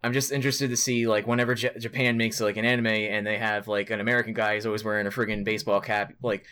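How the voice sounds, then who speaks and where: Low-voiced, sweary casual podcast guy. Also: level tone, fast, bright, forceful tone, smooth. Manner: sweary, rambling, informal. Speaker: podcast co-host. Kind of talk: casual gaming podcast chat.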